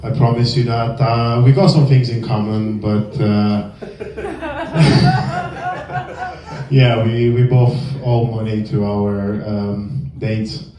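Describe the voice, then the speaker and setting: A young man from northern Romania with a Romanian accent, performing a halting, filler-heavy deadpan stand-up set in a small comedy club. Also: mid-range voice, recorded from a distance, a crowd in the background. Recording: echoey room, heard from afar, dull sound. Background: audience laughter.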